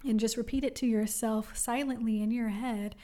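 Young woman, podcast host, mellow and a bit high, unhurried and warmly encouraging. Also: fairly even intonation. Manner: soft-spoken, gentle and encouraging, with many pauses. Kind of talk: solo wellness podcast talk.

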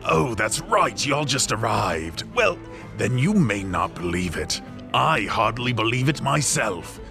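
An actor playing a folksy, talkative tavern keeper in a fantasy game, using old-timey turns of phrase. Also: male voice, mid-range voice, big pitch swings, unhurried, creaky. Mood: genial, storytelling. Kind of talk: animated comedic fantasy voice acting. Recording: music behind the voice.

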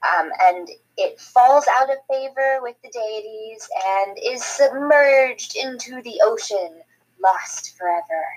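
A woman's voice: a little high, slow, low-quality recording. A Scottish woman co-hosting a podcast, explaining a topic in chatty casual talk with frequent ums. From Scotland. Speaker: podcast co-host. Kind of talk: casual chat.

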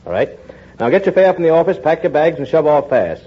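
A man playing a brisk, commanding newspaper editor in a 1940s radio drama. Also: on the high side, sing-song, breathy edge. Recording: muffled 1940s radio broadcast.

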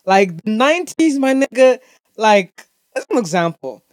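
A man's voice: high voice, sing-song, measured pace, no echo. Emphatic, sweary male podcaster, close dry sound. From Zimbabwe. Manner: emphatic, repetitive, heavy swearing. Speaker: podcast host. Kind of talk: casual opinionated rant, profanity-laden slangy chat.

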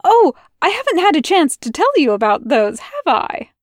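A woman doing a fussy, excitable male angel. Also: high voice, moderate pace, no room sound, studio quality, voice pushed up. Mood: eager. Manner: chattering, eager, flustered.

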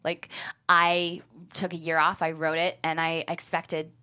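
A woman's voice: deep voice, flat delivery, measured pace, sharp tone, clean tone. A woman screenwriter talking candidly and emphatically as a guest in a panel discussion, often laughing through her words. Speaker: screenwriter and guest being interviewed. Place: talk show studio after a table read.

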